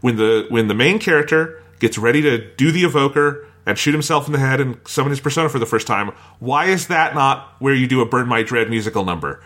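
A man with an average-pitched voice hosts a casual review podcast. He is opinionated and talks through his critique conversationally, steering from one point to the next. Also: lively intonation, a little fast, few pauses, a bit bright.

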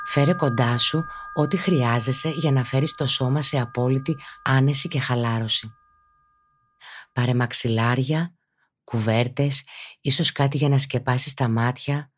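A woman speaking as a yoga teacher and podcast host, guiding a meditation in a calm, instructive, deliberate way. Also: very deep voice. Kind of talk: guided meditation narration.

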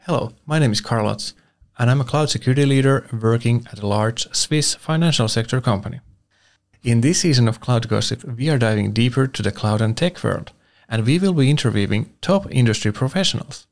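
A man's voice: medium pitch, brisk. A man co-hosting a tech podcast who reads scripted introductions and asks questions formally. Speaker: podcast co-host.

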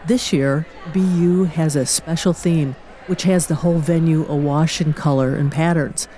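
A woman's voice: low-pitched, fairly even intonation, normal speed, mellow. A woman giving upbeat, scripted narration for an event video. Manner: upbeat promotional delivery.

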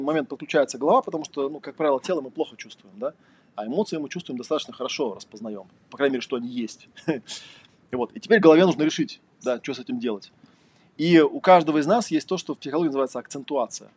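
A man's voice: fairly high pitch, quick pace, darkish tone, husky. A man giving a casual lecture, talking conversationally with lots of fillers and rhetorical questions.

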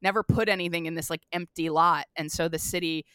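A woman in her thirties, an artist guest telling stories in a casual interview, chatty and animated with frequent "like" fillers. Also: fairly low pitch, brisk, clean tone, no echo.

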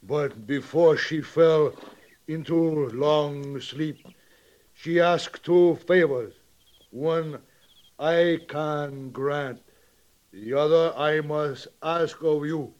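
An actor in a 1950s western radio drama playing a dignified, grieving Sioux chief who speaks solemn, halting, simplified English. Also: male voice, a little high, darkish tone. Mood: grave, grieving. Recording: muffled old radio drama recording.

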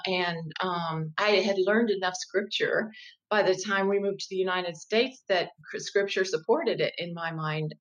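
A woman in her fifties speaks as an interview guest, her voice sitting a little low. She explains things in a measured, thoughtful way and sometimes restarts a sentence while she thinks. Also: fairly bright tone, highs cut off. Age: 50s. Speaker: guest, diversity educator. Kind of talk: conversational interview.

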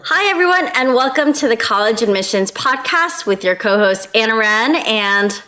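A woman's voice: fairly high pitch, expressive, normal speed, bright, forceful tone. A woman co-hosting a podcast, upbeat and chatty, introducing a guest with a few ums. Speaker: podcast co-host.

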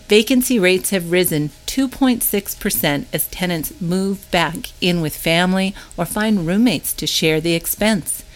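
A woman narrating a monthly newsletter update, reading from a script. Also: on the low side.

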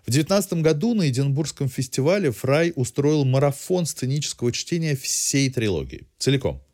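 A man's voice: medium pitch, sing-song, a little fast, a little mellow. A man hosting a podcast, reading a scripted cultural review in an articulate, literary way. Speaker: podcast host. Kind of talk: scripted cultural news narration.

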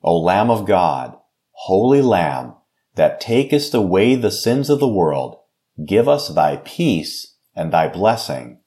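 Slow, low-voiced pastor reading scripture. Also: male voice, sing-song, warm tone. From United States. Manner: slow, measured King James reading.

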